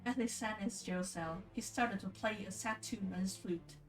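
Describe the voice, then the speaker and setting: A woman's voice: mid-range voice, distant mic. Woman streamer, rambling, music behind her. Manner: informal, halting, rambling. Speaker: streamer reading folktales aloud. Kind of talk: casual livestream storytelling and commentary.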